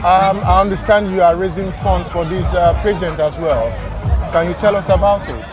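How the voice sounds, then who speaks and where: A man's voice: high-pitched, moderate pace. Upbeat male host at an outdoor festival. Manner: friendly, upbeat, with frequent ums. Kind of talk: outdoor event interview. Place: outdoor pride festival in a park. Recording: outdoor crowd and music behind. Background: crowd and music.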